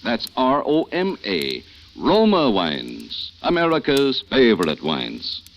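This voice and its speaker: A man reading a sponsor advert as a radio announcer, smooth and salesy, on a dull old broadcast recording with faint hiss. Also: medium pitch, wildly swooping pitch, slow pace.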